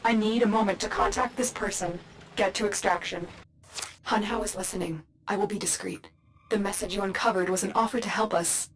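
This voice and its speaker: An actress playing a calm, secretive female guide in a video game, giving measured, urgent instructions. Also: medium pitch, crowd noise, close to an everyday voice. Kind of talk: video game mission voice acting.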